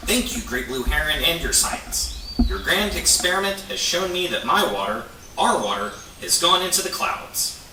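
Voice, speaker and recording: Man playing an excitable, high-pitched beaver puppet. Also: normal speed. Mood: curious. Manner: exclamatory, questioning, exaggerated. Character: excitable, naive beaver puppet, easily upset, curious. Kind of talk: children's puppet show acting. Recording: echoey room, distant mic, noisy.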